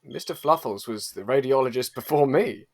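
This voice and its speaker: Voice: medium pitch, normal speed, natural voice. An actor playing an earnest, awkward hospital radiologist with formal, hesitant phrasing in an improvised comedy audio drama. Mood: solemn.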